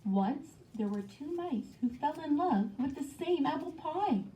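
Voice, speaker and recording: Mellow woman storyteller, echoey and distant. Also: high voice, lively intonation, measured pace, a little hiss or hum. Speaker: read-aloud narrator of a children's picture book. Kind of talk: scripted storybook narration. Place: recorded read-aloud played back in a classroom. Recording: muffled classroom speaker playback, echoey, distant.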